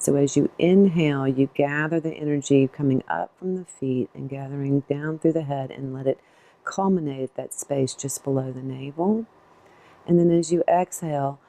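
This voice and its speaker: Low, mellow-voiced woman guiding meditation. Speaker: guided meditation teacher. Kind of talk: guided meditation instruction.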